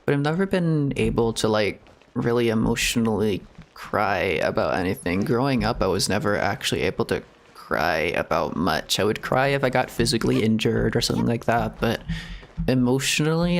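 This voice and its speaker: A man's voice: mid-range voice, expressive, normal speed, gravelly, fairly bright tone. A man streaming a game, chatting in a casual, confessional way, hesitant and rambling, often chuckling at himself. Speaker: streamer reacting to a video game. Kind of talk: casual, confessional chat while playing.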